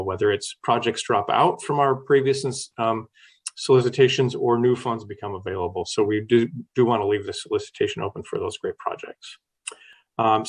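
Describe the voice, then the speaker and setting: A man giving a staff presentation at a public board meeting, steady and full of "um" fillers. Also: medium pitch.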